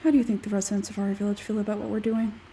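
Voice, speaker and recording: A woman voicing an aloof, logical, smug scholarly man from a game, measured and condescending, with a husky forced-low tone. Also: level tone, mellow, far from the mic.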